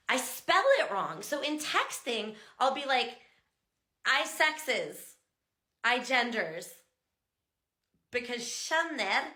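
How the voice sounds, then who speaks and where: A woman's voice: on the high side, bright, forceful tone, echoey room. A chatty American woman and language teacher, explaining things casually and exaggerating sounds to demonstrate them. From United States. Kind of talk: casual talking-head explainer.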